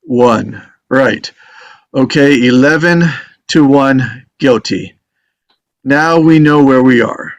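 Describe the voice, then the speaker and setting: A man's voice: mid-range voice, takes it slowly, pauses often, highs cut off. A man playing an orderly, matter-of-fact jury foreman in a table read of a stage drama.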